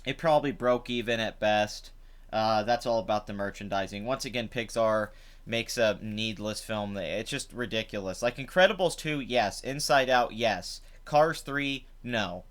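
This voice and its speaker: Host type: young guy, chatty and rambling, voice rough from the night before, resonant tone. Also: lowish voice, normal speed, a bit bright. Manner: rambling, chatty, many fillers. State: rough voice after drinking. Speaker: live stream host. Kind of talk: casual live stream commentary. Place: home.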